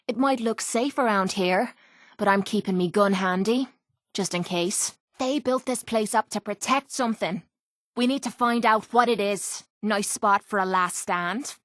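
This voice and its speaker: An actress playing a tough, sarcastic young pit fighter in a video game, with an Irish accent and a blunt, salty, wry way of talking. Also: female voice, on the high side, fairly bright tone, no echo, clean studio sound. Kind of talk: video game character voice lines.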